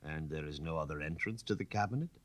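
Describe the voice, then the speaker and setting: A man as a low-voiced, probing police official. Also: fast, a bit bright. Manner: formal, pointed questioning. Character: methodical, probing French police commissary, formal and official.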